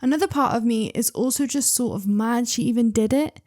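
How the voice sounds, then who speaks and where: A woman's voice: a little high, fairly quick. Chatty woman reading stories aloud. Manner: chatty, excitable, interrupts herself with asides. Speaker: reader and channel host.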